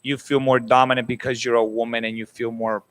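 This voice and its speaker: A man's voice: mid-range voice, little pitch movement, brisk, a bit bright, no echo. A young man from Canada hosting a casual talk show, bantering and defending himself in a playful way. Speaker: host. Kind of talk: casual chat.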